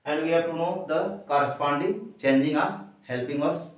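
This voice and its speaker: A man with an Indian accent, a native Hindi speaker, teaching grammar in a deliberate, emphatic way and addressing his listeners directly. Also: fairly high pitch. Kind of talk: instructional grammar lesson to camera. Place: echoey room indoors. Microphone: distant microphone. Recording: very echoey room, distant mic.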